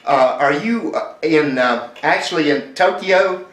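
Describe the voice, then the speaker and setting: A man's voice: on the high side, animated, a little slow, a bit bright. Male ham operator, easygoing radio chat, spells words phonetically, room echo on the mic. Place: home radio station in Mexico.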